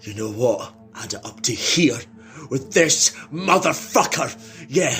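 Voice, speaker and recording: A man's voice: mid-range voice, expressive, slow pace, a bit bright. A man playing an angry, hot-headed hulking survivor in an audio horror drama, aggressive and sweary. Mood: furious. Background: dramatic music.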